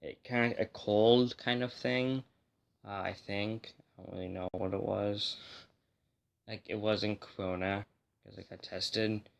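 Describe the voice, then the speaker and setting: Male video host, lower register, slightly hoarse from a cold, informal and rambling explainer delivery. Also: steady tone, measured pace. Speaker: host of a music history video series. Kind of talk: casual explainer talk to viewers.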